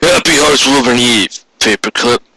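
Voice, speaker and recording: A man acts out a big stallion boss from a storybook, gruff and distrustful. He speaks slowly and forcefully, as if grudging every word, with a bright edge in a dry, close space. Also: mid-range voice, dry recording, heavily acted, low-quality recording. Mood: gruffly grateful.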